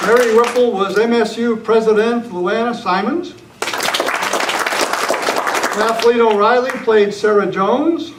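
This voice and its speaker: High-voiced man announcing in echoey hall. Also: measured pace, long pauses. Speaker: announcer of a radio play. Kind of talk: scripted old-time radio announcing. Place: club meeting room. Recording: echoey distant room, loud noise and crowd. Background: audience noise.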